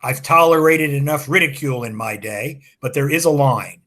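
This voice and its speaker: A man's voice: medium pitch, normal speed, bright, forceful tone, natural voice. A man voicing a prim, offended imaginary librarian in his thirties who writes in a formal, coldly cutting way in a read-aloud story.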